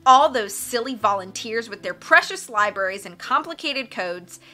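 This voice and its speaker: A woman voicing a sneering, boastful male henchman in a storybook, with scornful, forceful delivery. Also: a little high, lively intonation, moderate pace.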